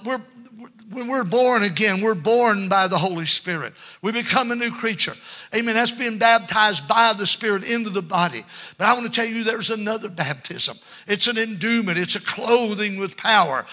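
A man's voice: high voice, sharp tone. An older man preaching a fervent Pentecostal sermon, exhorting listeners and punctuating his points with amens and calls for response.

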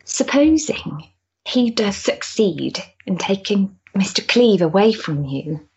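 A woman's voice: medium pitch, sing-song, slow pace, a little mellow, breathy edge, muffled recording, exaggerated voice. An actress playing an earnest, impulsive, lonely Victorian woman in a period stage drama, with a hesitant, emotional manner. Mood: concerned, puzzled.

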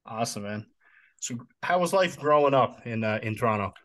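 A man's voice: medium pitch, animated, fairly quick, fairly bright tone. A man hosting a podcast, welcoming listeners and asking his guest friendly questions in an upbeat tone. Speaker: podcast host. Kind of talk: podcast intro and interview questions.

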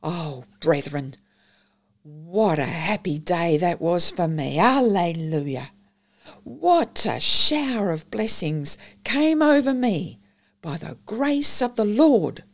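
A woman's voice: lowish voice, very animated, takes it slowly, full character voice. A woman with an Australian accent voicing a reformed burglar who now preaches fervently, in an audiobook reading.

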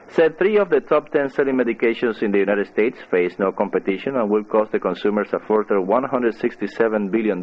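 Male newsreader, low pitch, scripted radio delivery, dull lo-fi shortwave sound. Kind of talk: scripted news reading. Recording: muffled shortwave radio broadcast.

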